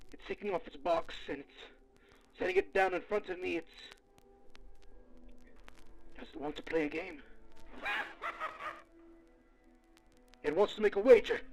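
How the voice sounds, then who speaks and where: A man performs a foul-mouthed, world-weary researcher recording his case log in an audio drama. His voice is fairly high for a man and creaks with gravel. The delivery is halting and dramatic, as if muttering to himself. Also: poor audio. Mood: frightened, bargaining.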